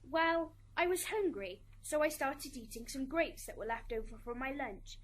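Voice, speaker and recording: A woman's voice: high voice, animated, moderate pace. A woman acting a resentful, self-justifying schoolgirl daughter in an amateur radio drama. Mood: sullen. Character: resentful schoolgirl daughter testifying in afterlife court. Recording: hissy amateur recording, background noise.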